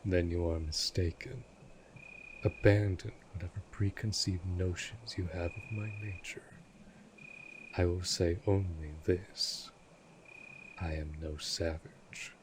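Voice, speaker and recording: A man playing an ancient, aloof vampire lord in an audio roleplay, speaking formally and imperiously with cold menace and a breathy, husky delivery. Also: sits low, steady tone, slow, a little hiss or hum, poor audio. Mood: cold, weary. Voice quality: husky, breathy, dropping near whisper. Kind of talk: scripted audio roleplay drama.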